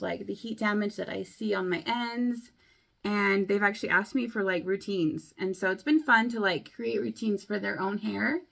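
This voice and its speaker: Chatty young woman, slightly hoarse. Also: medium pitch. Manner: chatty, rambling, many likes and ums. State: a bit hoarse from a cold. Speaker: beauty vlogger. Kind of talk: casual chat to camera.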